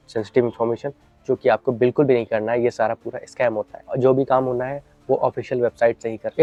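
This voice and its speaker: Male host for informal how-to advice, flat delivery, instructive and repetitive; dry, close sound. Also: mid-range voice, no echo, lo-fi sound. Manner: repetitive, instructive, mixes English words into speech. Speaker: host of an explainer video channel. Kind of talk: informal explainer, advising viewers directly.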